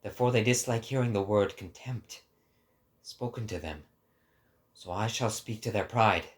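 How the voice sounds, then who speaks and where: A man giving a dramatic scripted narration in a low, subdued register, measured and solemn. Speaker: voice actor, narrator.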